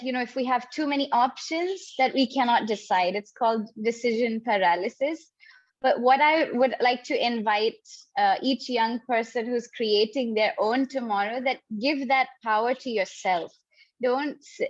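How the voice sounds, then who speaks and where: A woman's voice: on the high side, no echo. A young woman on a panel giving earnest, encouraging advice over a video call, often using "like" as a filler. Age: young adult. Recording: dull lo-fi sound.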